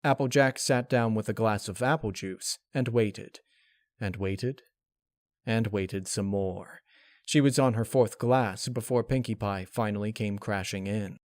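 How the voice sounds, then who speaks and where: Man narrating a dark story, dry sound. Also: on the low side, measured pace, dry recording. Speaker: narrator of fan-fiction readings.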